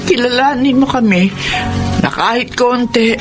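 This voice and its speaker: A woman's voice: fairly high pitch, measured pace, close to an everyday voice. A woman playing an earnest, anxious old Filipino grandmother in a radio melodrama. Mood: tender, pleading. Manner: earnest, dramatic. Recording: loud music under the voice.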